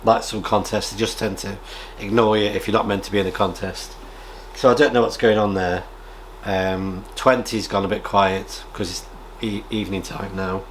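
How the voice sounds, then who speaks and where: A British man chatting casually as a radio hobby vlogger, rambling in a halting way and often clearing his throat. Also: lowish voice, level tone, slight background noise. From United Kingdom. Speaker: amateur radio vlogger and presenter. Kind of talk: casual rambling chat, reading out viewer comments.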